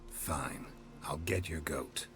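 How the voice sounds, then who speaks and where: An actor playing a gruff, laconic monster hunter in a fantasy game, deadpan and sardonic. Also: male voice, very deep voice, takes it slowly, a little hiss or hum, full character voice. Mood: sardonic, impatient. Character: gruff, laconic monster hunter, adult man, dry and impatient. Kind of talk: understated fantasy game acting. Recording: music behind the voice.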